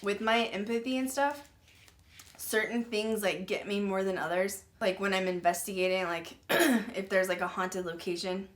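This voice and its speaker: A woman hosting a paranormal podcast, retelling a grim case in casual, rambling talk full of "like" and "um", often clearing her throat as she says she is losing her voice. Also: medium pitch, moderate pace. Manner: rambling, filler-heavy, with many "like" and "um"; uneasy and apologetic about the grim subject. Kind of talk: casual true-crime retelling to viewers.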